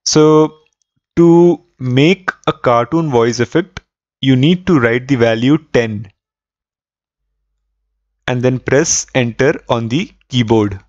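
A man hosting a step-by-step software tutorial, explaining methodically and repeating key points. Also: mid-range voice, slow pace, pauses often, no room sound, highs cut off. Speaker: tutorial host.

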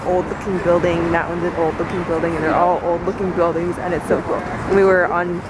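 A young woman chats casually and excitably into a handheld camera as a travel vlogger, rambling and giggly. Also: lowish voice, a little fast. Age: young adult. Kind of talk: casual handheld vlog chat. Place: out in a city at night, on buses and streets. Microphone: handheld camera at arm's length. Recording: loud street and vehicle noise.

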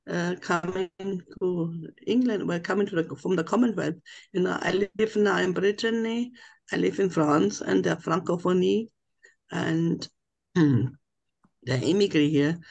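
Older woman, German accent, gravelly voice, rambling halting speech, video call audio. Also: lowish voice, darkish tone. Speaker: webinar participant. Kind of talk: rambling personal account.

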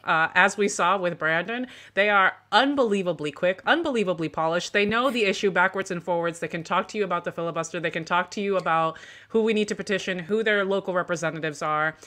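Young woman, co-host, passionate, lots of ums, bright forceful voice, lowish pitch, video call. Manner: fast, passionate, filler-heavy. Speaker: show co-host. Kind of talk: political discussion show. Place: home room with bookshelves. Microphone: video call with earbuds.

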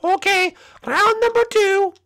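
A man voicing a small, sweet, excitable young fox with a squeaky, naive manner in an amateur comedy skit. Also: takes it slowly, heavily acted. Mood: announcing eagerly. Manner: squeaky, naive, wide-eyed.

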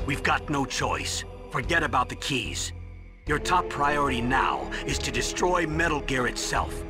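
A man's voice: medium pitch, a little slow, music in the background, lightly acted. Older male officer for a game, played by a man, authoritative and earnest, medium register, score behind. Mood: urgent, commanding. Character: grave, earnest older military commander on radio. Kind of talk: dramatic video game acting.

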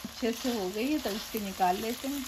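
A woman's voice: a little high, moderate pace. A woman cooking show host patiently walking viewers through a recipe step by step in her home kitchen. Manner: patient, repeats steps, addresses viewers directly. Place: home kitchen, voice over stovetop sizzling. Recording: audible frying and stirring noise.

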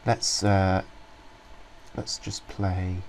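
A man's voice: low-pitched, little pitch movement, drawn-out words, a little mellow, slight background noise, rough recording. A man rambling through a casual walkthrough of a program he is reviewing, full of ums and restarts. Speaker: software reviewer. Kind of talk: casual screen-recorded software walkthrough.

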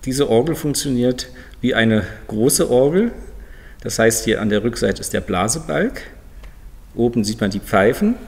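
A man's voice: mid-range voice, animated, unhurried, darkish tone. A man in his fifties from Berlin, explaining in a matter-of-fact way as an organ builder showing his work. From Berlin, Germany. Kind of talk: informal explanatory demonstration to camera. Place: large museum hall, indoors. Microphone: lapel mic. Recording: long reverb of a large hall.

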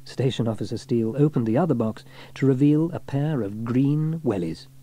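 A man narrating a scripted children's story as an audiobook reader, with expressive storytelling delivery. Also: medium pitch, moderate pace.

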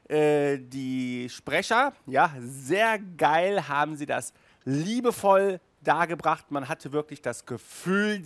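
A middle-aged man presents a casual review in an enthusiastic, chatty way. He takes his time as he talks, in a voice a little higher than most men's. The sound is dry, picked up by a headset mic in a small room. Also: dry recording. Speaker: host of an audio drama review show. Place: small furnished room indoors, on a couch.

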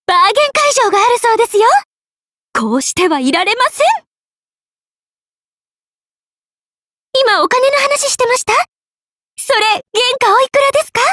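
A woman's voice: extremely high pitch, a bit bright, dry recording, clean studio sound, full character voice. A woman voicing a playful, money-loving young half-spirit merchant sorceress in an anime game, with a teasing, polite lilt. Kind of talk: Japanese anime game character voice acting.

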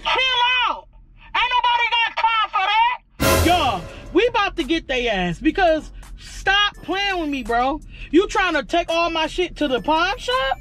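A young woman with a very high, animated voice talks in a brash, slangy, swearing style like a casual prank vlogger. She is recorded on a camera inside a car, with music clearly behind her. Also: unhurried. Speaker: family vlog host. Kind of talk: casual prank vlog chat. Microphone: dashboard camera in front.

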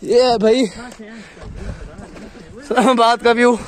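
A man's voice: very high-pitched, level tone, slow. A young man with a Pakistani Urdu accent, chatting casually to the camera as he climbs a wooded hill trail, recorded on a handheld phone outdoors. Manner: chatty, walking and climbing while talking. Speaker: vlogger. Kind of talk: casual hiking vlog narration.

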